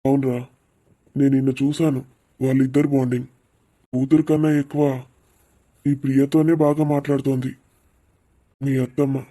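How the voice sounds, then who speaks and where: A man's voice: mid-range voice, level tone, moderate pace, pauses often, warm tone, natural voice. A man playing an exasperated middle-aged father in a cartoon. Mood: reassuring, advising. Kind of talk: animated cartoon dialogue.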